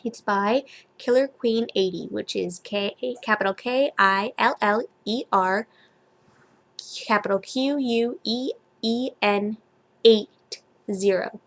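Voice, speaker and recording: A woman with a fairly high voice reads a story and chats informally as an amateur fan fiction reader. Her manner is giggly and self-deprecating. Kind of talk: amateur story read-aloud and casual chat.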